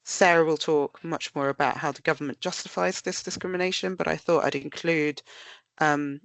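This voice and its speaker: A woman's voice: deep voice, fairly even intonation, moderate pace, gravelly, no room sound. A woman with a nasal voice, bunged up with a cold, giving a prepared webinar presentation. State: blocked with a cold. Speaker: research director presenting.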